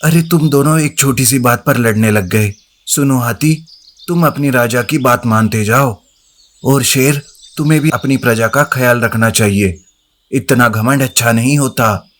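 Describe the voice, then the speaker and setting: A man acts out a wise pond turtle in a cartoon fable. His pitch is average for a male. He admonishes like an elder who keeps the peace. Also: a little slow, a bit bright, close to an everyday voice. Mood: scolding. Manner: admonishing, lecturing. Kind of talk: Hindi animated moral story dialogue.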